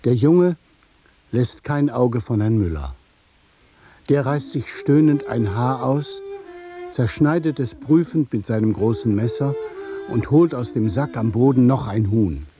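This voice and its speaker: A man narrating a scripted literary radio play in a deliberate, measured storytelling manner. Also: mid-range voice, animated, music in the background.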